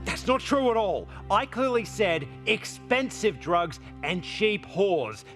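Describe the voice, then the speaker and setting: An actor playing a roguish, crude, exasperated spaceship captain in a video game. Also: male voice, sits high, big pitch swings, takes it slowly, a bit bright, faint music behind, exaggerated voice. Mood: indignant. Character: roguish, debauched, indignant male spaceship captain. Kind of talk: video game cutscene acting.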